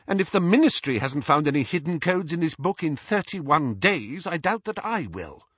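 Man doing a bookish teenage girl, raised pitch. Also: big pitch swings, a bit bright, breathy edge, dry recording, muffled recording, exaggerated voice. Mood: tearful, defensive. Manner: halting, thick-voiced, indignant. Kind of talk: audiobook character voice.

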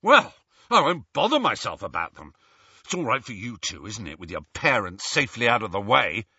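A man voicing a resentful teenage boy wizard who shouts and sneers bitterly. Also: lowish voice, sing-song, moderate pace, slightly rough, dry recording, dull audio, full character voice.

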